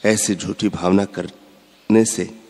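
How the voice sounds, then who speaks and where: A man giving a measured, instructive spiritual discourse, repeating his points. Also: low-pitched, fairly even intonation, darkish tone. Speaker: spiritual speaker. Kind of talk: slow devotional discourse. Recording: long hall reverb.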